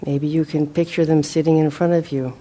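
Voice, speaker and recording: A woman leading a guided meditation as a teacher, speaking gently and in a measured way with long pauses. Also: low-pitched, flat delivery.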